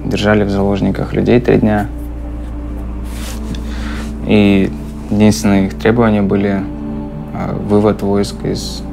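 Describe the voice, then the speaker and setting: A man in his twenties, speaking in a calm, measured way in a documentary interview and voice-over. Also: on the low side, gravelly. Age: 20s. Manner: calm, measured, flat delivery. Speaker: local resident. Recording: music playing under the voice.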